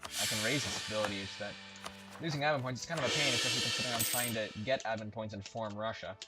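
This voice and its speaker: A man with a lowish voice talks through his strategy game move by move, chatty and thinking aloud. He often corrects himself mid-sentence. Game music plays clearly behind him, with keys tapping. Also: quick pace. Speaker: game commentator. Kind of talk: casual let's-play commentary. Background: game music and keyboard typing.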